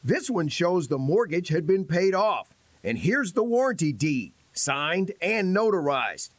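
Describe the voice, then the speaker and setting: Male American TV investigative reporter, scripted narration, highish voice with very lively intonation, dry close sound. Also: measured pace, no echo.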